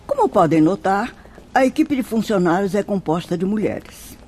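A woman acting a mellow, solemn spirit mentor. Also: medium pitch, lively intonation, moderate pace, soft background music, lightly acted. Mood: instructive. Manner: didactic, solemn, formal. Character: wise spirit mentor teaching suicide souls, solemn, compassionate. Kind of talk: radio drama acting.

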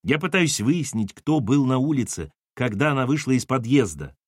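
Casting: wry, dogged husband male narrator acting, mid-pitched, insistent, plain-spoken, audiobook. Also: lively intonation, moderate pace, husky, no room sound, studio quality. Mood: flustered. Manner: blunt, insistent, dryly ironic. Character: dogged, blunt husband questioning his household, wry.

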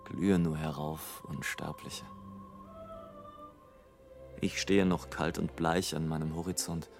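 A melancholy airship traveller speaks in a very low, gravelly voice. His delivery is solemn, hushed and poetic, in the manner of a literary radio drama, with prominent operatic music behind him. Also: steady tone, slow pace, full character voice. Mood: solemn, yearning. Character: melancholy male airship aeronaut addressing the rising sun. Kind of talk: literary radio play monologue.

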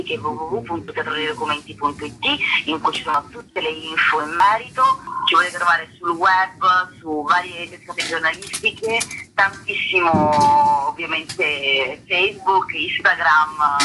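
A woman's voice: low voice, bright, forceful tone, a little hiss or hum, poor audio. A woman speaking enthusiastically as a theatre guest in a radio interview.

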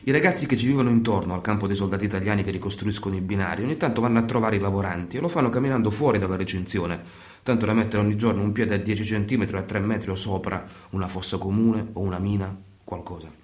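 An actor playing a storyteller and troupe leader, delivering scripted radio theatre in measured phrases. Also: male voice, on the low side, darkish tone, echoey room, lightly acted. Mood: measured, wistful. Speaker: narrator.

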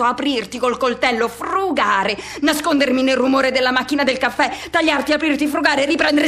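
High-voiced, yearning dramatic monologue. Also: female voice, a bit bright. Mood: intense, bitter. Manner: halting short phrases with insistent repetition. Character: yearning, tormented man recalling his teenage first love. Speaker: actor. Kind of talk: radio theatre dramatic monologue.

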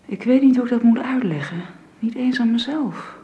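A woman's voice: on the high side, lively intonation, moderate pace, warm tone, lightly acted. An actress playing a hesitant, earnest and deferential young woman in a Dutch radio drama. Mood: troubled, hesitant.

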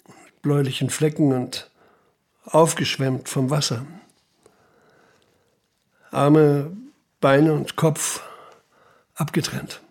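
An actor playing a dutiful, deferential Prussian police officer around 1900 in a radio drama, speaking in a measured, formal way. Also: male voice, medium pitch, a little mellow, husky. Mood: shaken, grave. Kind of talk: audio drama narration and dialogue.

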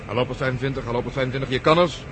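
A man acting as a level-headed police inspector in a radio play. He talks very quickly in clipped, dutiful phrases at a middling pitch. A clear rumbling noise runs behind him. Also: steady tone, natural voice. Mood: brisk, controlled. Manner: businesslike, clipped. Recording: noisy radio play recording, engine-like rumble.

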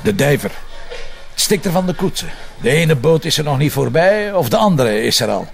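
An actor with a Flemish accent playing a confident, wry police detective in a radio drama. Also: male voice, on the high side, sing-song, moderate pace, creaky. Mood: sure, pressing.

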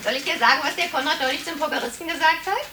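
An actress playing a sharp-tongued, gossipy office typist, snappish and chatty, in a 1950s German radio drama. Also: female voice, sits high, rapid-fire, natural voice. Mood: needling. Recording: old cassette radio recording, some hiss.

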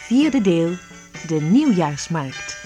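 Woman announcer, lowish pitch, mellow, scripted radio play titles, faint music behind. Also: sing-song, slow.

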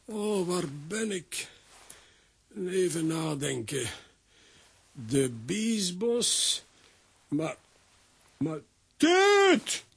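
A man acting a good-natured, excitable, bumbling character in a children's comic-strip radio play, with exclamatory, theatrical delivery. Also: high voice, very slow, vocal fry, pauses often, husky, heavily acted. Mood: dazed, surprised.

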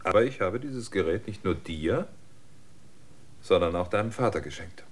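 An actor in a German radio drama playing a sober, world-weary outsider who speaks in a dry, matter-of-fact way. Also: male voice, low-pitched, lively intonation, normal speed. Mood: calm, dry.

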